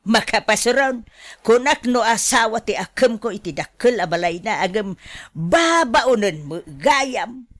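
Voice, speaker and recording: A long-suffering housewife acted by a woman in an Ilocano radio drama, plaintive and full of sighs. She has an Ilocano accent and draws her words out slowly, in a very dry, close recording. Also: on the high side, expressive, no echo. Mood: indignant. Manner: plaintive, sighing. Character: weary, put-upon housewife, long-suffering and complaining.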